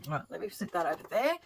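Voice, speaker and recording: Middle-aged woman, lower voice, slightly croaky, rambling craft-vlog host with a faint noise floor. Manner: chatty, thinking aloud with many ums. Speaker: quilting channel host. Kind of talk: casual craft vlog narration while working.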